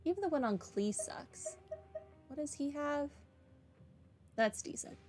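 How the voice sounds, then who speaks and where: A woman's voice: high-pitched, animated, unhurried, a little mellow. A woman giving casual playthrough commentary as a game streamer, with dry, muttered asides. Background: game music.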